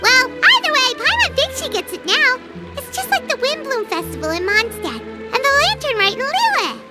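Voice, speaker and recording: Game mascot role: tiny curious fairy, extremely high squeaky childlike voice, wildly animated and blunt. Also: female voice, moderate pace, loud music behind the voice. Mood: blunt, perky. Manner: refers to herself in third person, exclaims and questions. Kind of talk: cartoonish video game acting.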